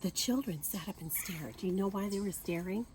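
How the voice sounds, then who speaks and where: Expressive woman reading aloud, noisy background. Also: medium pitch. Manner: expressive, pausing to ask young listeners questions. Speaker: teacher reading aloud to children. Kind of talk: picture-book read-aloud with asides and questions to children.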